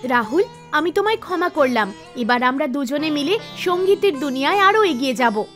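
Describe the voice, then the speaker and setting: Woman as an earnest, very high-pitched young girl. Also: lively intonation, fairly quick, a bit bright. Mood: forgiving. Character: devout, earnest young girl music student. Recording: music behind the voice.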